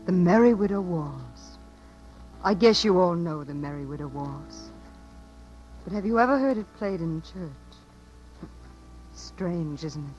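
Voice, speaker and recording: A woman's voice: fairly low pitch, lively intonation, slow, long pauses, a little mellow, dull audio, soft background music. An actress playing an earnest, restless small-town girl in a 1950s radio drama. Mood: wistful, intimate. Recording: faintly noisy 1950s radio broadcast.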